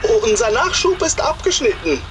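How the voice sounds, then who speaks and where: Anxious female Nazi aide, game cutscene: animated pitch, off-mic amid vehicle noise. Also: fairly quick. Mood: worried. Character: worried Nazi subordinate reporting bad news. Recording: distant, with clear engine and radio noise.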